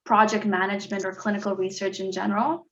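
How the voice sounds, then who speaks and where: A woman's voice: mid-range voice, flat delivery, quick pace, fairly bright tone, lo-fi sound. A woman hosting an interview, asking questions and giving short acknowledgments. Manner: short back-channel replies. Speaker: host, interviewer.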